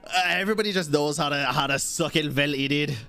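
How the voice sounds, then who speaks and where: A young man giving casual, excitable, slangy commentary while streaming a game. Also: a little high, quick pace, soft background music. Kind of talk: casual live gaming commentary, reading lines aloud.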